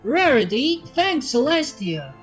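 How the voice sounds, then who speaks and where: A woman's voice: sits high, sing-song, slow, dull audio, faint music behind, voice pushed up, full character voice. A woman voicing a plucky, blunt country pony mare with a folksy, bossy way of talking. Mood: urgent, bossy.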